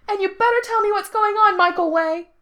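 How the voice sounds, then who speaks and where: A woman's voice: extremely high pitch, fairly bright tone, voice pushed up. A woman voicing a self-centred, dramatic young boy in a story, demanding and emphatic. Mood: loud, offended. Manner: demanding, rapid questioning, emphatic.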